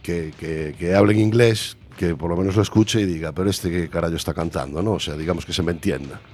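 Very deep-voiced Galician man, hesitant. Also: quick pace, darkish tone. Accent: Galician Spanish. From Galicia, Spain. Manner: hesitant, self-deprecating, searching for words. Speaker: musician guest. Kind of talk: casual interview chat.

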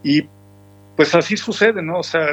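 A man, a musician guest speaking reflectively in a relaxed radio interview and often restarting his phrases. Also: a little high, unhurried, breathy edge. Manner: reflective, rambling with frequent restarts. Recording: dull lo-fi recording with faint music.

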